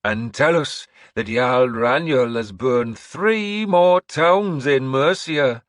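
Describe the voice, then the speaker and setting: A man voicing a sinister old woman who rules from a throne, slow, hissing and imperious. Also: medium pitch, dry recording, studio quality, pitched above the performer's own voice, lightly acted. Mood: gloating.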